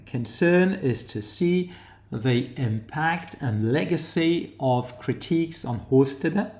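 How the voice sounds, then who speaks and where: A man with a New Zealand accent giving a tutorial lecture while sharing his screen, pausing often to find his words as he explains. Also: medium pitch, a little mellow, reverberant. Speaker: academic lecturer.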